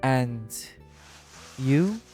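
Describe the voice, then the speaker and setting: A man's voice: medium pitch, lively intonation, extremely slow. Man acting guarded socialite crime lieutenant over music. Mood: tense, probing. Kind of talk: dramatic audio roleplay acting.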